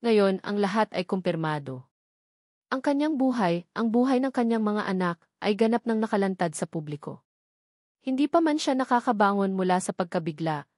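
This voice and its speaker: A woman's voice: medium pitch, darkish tone, dry recording, studio quality. A woman with a Filipino accent reading scripted dramatic narration of a romance story.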